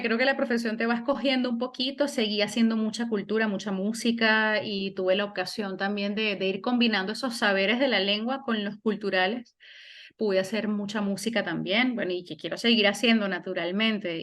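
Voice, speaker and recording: A middle-aged woman from Venezuela, a singer and language teacher, speaks in a relaxed interview. Her voice sits at an ordinary middle pitch, and she talks in a reflective way, often pausing and restarting as she gathers her thoughts. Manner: reflective, many restarts and fillers. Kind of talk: conversational interview.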